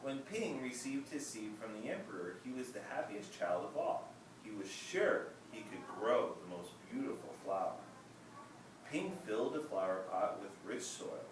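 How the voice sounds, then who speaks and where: A man reading a children's story aloud in a gentle, measured way. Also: fairly low pitch, big pitch swings. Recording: echoey room, distant mic, noisy.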